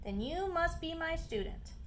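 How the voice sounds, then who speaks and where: Storybook bird teacher, female played by a woman reader: high, theatrical, cheerful, chirpy; audible background noise. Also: expressive, unhurried, a bit bright, exaggerated voice. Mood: delighted. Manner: theatrical, gushing, chirpy exclamations.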